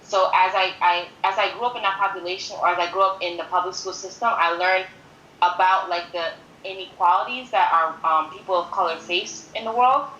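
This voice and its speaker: A woman's voice: lowish voice, steady tone, brisk, sharp tone, highs cut off. A woman in her twenties from Haiti who grew up in New York City, speaking earnestly as a podcast guest and repeating herself for emphasis. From born in Haiti, grew up in New York City from age five. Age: 20s. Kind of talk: conversational interview, personal account. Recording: roomy echo with faint background noise.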